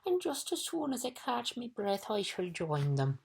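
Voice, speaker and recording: A woman's voice: medium pitch, big pitch swings, measured pace, vocal fry, husky, voice pushed up, full character voice. A woman voicing a pompous, flustered, gluttonous male bug in a storybook, with flowery, repetitive talk. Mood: weakly blustering. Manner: pompous, flowery, repeats phrases.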